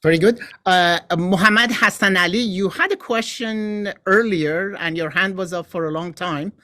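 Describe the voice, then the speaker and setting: A man's voice: high voice, moderate pace, sharp tone. A man moderating a webinar question session, brief and businesslike. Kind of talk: chairing a Q&A.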